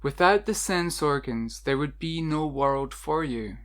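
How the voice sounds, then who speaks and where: A meditation guide narrating a scripted guided meditation in a measured, instructional way with deliberate pauses. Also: mid-range voice, animated, a bit bright.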